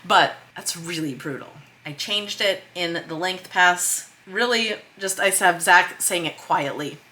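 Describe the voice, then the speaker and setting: A woman's voice: lowish voice, a little slow, bright, forceful tone. A woman talking casually and emphatically, thinking aloud as she explains her work in a vlog. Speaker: screenwriter and vlog host. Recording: noticeable background noise.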